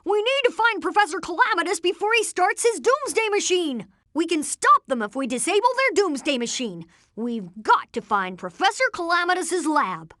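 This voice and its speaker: A woman voicing an earnest, brainy boy inventor who leads the team, speaking in precise, technical terms in an animated video game. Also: very high voice, moderate pace, few pauses, no echo, clean studio sound, exaggerated voice. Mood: urgent, determined. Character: boy genius inventor, earnest, brainy, take-charge young leader.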